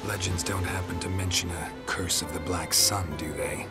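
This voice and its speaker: An actor playing a world-weary, wry monster hunter in a fantasy game, speaking in terse, deadpan lines. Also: male voice, low voice, moderate pace, lightly acted. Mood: dry, curt. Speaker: main character of a fantasy video game. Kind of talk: game cutscene dialogue. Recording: loud music behind the voice.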